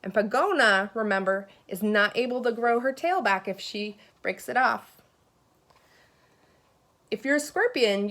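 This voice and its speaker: A woman teacher reading a children's picture book aloud expressively, pausing to put questions and asides to her young listeners. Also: a little high, fairly bright tone.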